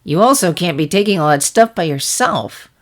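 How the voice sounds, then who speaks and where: A woman's voice: on the low side, animated, moderate pace, natural voice. A woman voicing a brash, playful younger pegasus pony mare with a breezy, teasing manner in a story reading. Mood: insistent. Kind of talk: fan fiction character reading.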